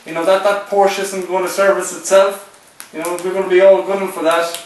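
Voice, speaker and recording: A man interviewing a poker player in a teasing, excitable way, often stumbling and restarting his sentences. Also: high-pitched, fairly quick. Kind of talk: joking casual chat. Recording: echoey room, voice from far off, faint noise.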